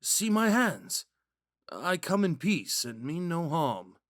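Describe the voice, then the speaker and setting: A man playing a gentle, smitten young prince in a romantic audio roleplay, musing and hesitant in a courteous way. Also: a little high, big pitch swings, slow pace, dry recording, studio quality, full character voice. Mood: apologetic, reassuring. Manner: musing, hesitant, courteous, with sighs and whispered asides.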